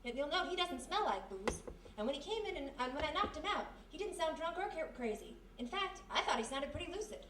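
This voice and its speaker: A woman playing a plucky, quick-witted young detective's sidekick, brisk and spirited, in a live radio mystery. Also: sits high, big pitch swings, distant mic. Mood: eager. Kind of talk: live old-time radio mystery acting. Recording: roomy live stage, faint background noise.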